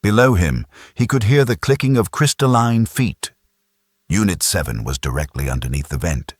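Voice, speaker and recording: A man narrates a sci-fi audiobook in an extremely low, husky voice. His delivery is grave and dramatic, with lively swings in pitch and an intense storyteller's phrasing. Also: moderate pace, no room sound, studio quality.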